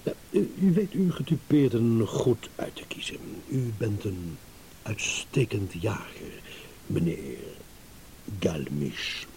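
An actor playing a shrewd, amused businessman in a radio drama, teasing and insinuating with hesitant pauses. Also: on the low side, animated, a little mellow. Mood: probing, flattering. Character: shrewd, amused businessman, a blackmail victim turning schemer. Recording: hissy old radio play recording.

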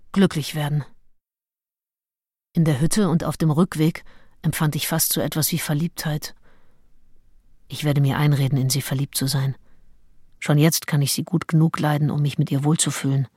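A woman's voice: low voice, darkish tone, no room sound, studio quality. A self-assured, wry female seducer. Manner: wry, self-satisfied, deliberate. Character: self-assured, calculating female seducer and diarist, early 1800s. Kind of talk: radio play monologue, intimate diary narration.

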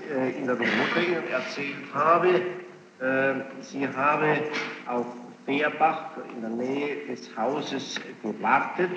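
A man formally questioning a witness in court, insistent and probing, often repeating answers back. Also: medium pitch. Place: large reverberant courtroom. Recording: muffled, noisy, echoey archival courtroom tape.

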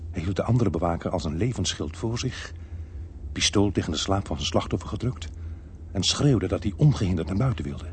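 A man playing a police officer who narrates a crime radio drama in a measured, suspenseful way. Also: sits low, animated. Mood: tense, measured. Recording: noisy archived radio drama recording.

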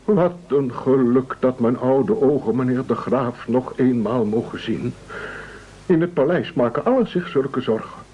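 An aged palace servant in an absurdist radio drama. He is fawning and sentimental, his husky voice rising and falling widely over drawn-out exclamations. The old recording is dull. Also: mid-range voice, dark tone. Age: older. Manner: gushing, deferential, drawn-out exclamations. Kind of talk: absurdist radio play acting.

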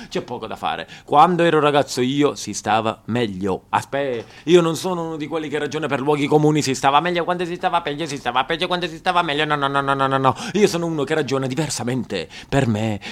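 A man's voice: on the high side, a bit bright. A middle-aged man with a southern Italian accent, performing a declamatory theatrical monologue for radio. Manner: declamatory, switching between characters and voices. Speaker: stage actor performing a monologue.

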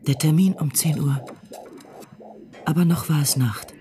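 A man's voice: fairly high pitch, a little slow, mellow, faint music behind. A man narrating a scripted radio drama as its storyteller.

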